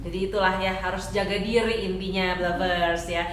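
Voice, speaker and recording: A woman's voice: fairly low pitch, steady tone, moderate pace. Echoey, chatty woman radio host. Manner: chatty, frequent affirming interjections. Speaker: radio talk show host. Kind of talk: casual talk show interview. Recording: echoey room with faint background noise.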